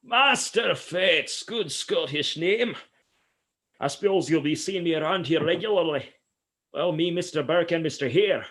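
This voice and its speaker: An actor playing a sly, mock-courteous grave robber with a dark sense of humour in a radio play, his voice husky. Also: on the high side, lively intonation, a little slow. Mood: sly, genial. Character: sly, darkly humorous grave robber, obsequiously polite.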